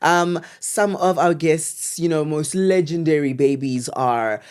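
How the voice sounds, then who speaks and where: South African accent, chat show host, medium pitch, casual slang, gushing, emphatic repetition. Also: measured pace, clear, resonant tone. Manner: gushing, repeats words for emphasis.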